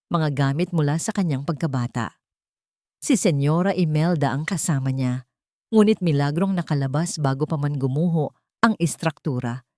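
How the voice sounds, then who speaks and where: A woman's voice: low-pitched, dark tone, no room sound, studio quality. A woman narrating a first-person audiobook drama in a scripted, dramatic storytelling style.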